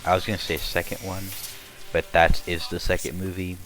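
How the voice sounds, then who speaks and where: A young man with a slightly raspy voice, chatting casually and rambling while commenting on his gameplay. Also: sits low, level tone, fairly quick, a bit bright, rough recording. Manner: rambling, chatty, many restarts and fillers. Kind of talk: casual let's-play chat.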